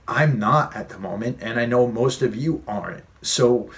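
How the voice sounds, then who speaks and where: A man's voice: mid-range voice, moderate pace, a bit bright. A man in his late thirties hosting a fitness advice vlog, earnest and conversational, repeating key points for emphasis. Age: 30s. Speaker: fitness coach and vlog host.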